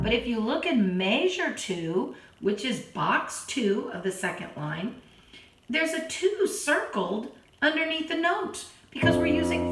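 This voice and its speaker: A hoarse woman who keeps clearing her throat, patiently teaching a children's keyboard lesson and counting beats aloud. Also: high voice. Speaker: piano teacher. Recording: roomy, from a distance. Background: keyboard playing.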